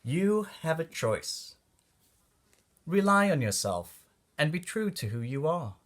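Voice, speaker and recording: Animated man reading a children's storybook. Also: fairly high pitch, takes it slowly. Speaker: children's book reader. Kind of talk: storybook read-aloud for kids.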